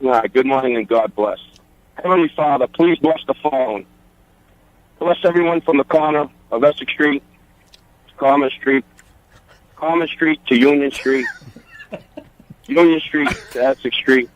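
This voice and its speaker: A man's voice: mid-range voice, a little slow, long pauses, highs cut off, a little hiss or hum, lightly acted. A man playing an easygoing, easily distracted toll booth collector in a mock-solemn comic radio bit, with casual working-man patter. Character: easygoing male highway toll booth collector giving a blessing.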